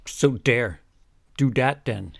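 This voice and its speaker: A man's voice: mid-range voice, slow, gravelly, fairly bright tone, dry recording. A man chatting casually as a comic co-host, tossing out dry, teasing reactions.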